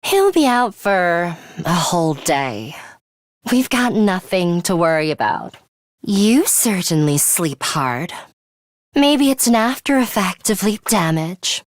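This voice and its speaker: Game role: serious young woman fighter, measured and sharp-tongued, lively intonation over a deliberate pace, scolding but caring. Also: on the low side, no room sound, clean studio sound. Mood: composed, matter-of-fact. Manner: measured, stern, dryly scolding. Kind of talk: video game voice acting.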